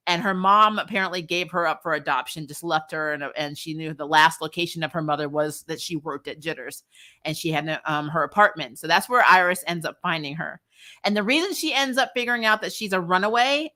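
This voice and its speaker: Bright-voiced woman chatting through a casual review. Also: lowish voice, a little fast. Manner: chatty, slangy, punctuated with laughter. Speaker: recap show host. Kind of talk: casual episode review and recap.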